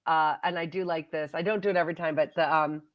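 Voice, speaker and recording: A woman entertainer joining a casual interview over a video call, relaxed and laughing often, with trailing sentences. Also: sits low, quick pace. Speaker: guest entertainer. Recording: dull lo-fi call audio.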